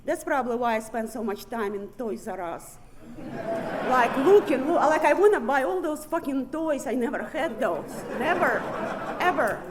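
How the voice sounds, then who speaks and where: A woman with a Russian accent tells her recovery story to a room of listeners. She talks bluntly, swears freely and laughs at herself, her voice sitting a little high. A faint hiss runs underneath. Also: animated, moderate pace, a crowd in the background. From born in Ukraine, raised in Siberia. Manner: candid, profane, laughs often. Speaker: recovery meeting main speaker. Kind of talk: personal recovery testimony speech. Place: meeting room with audience.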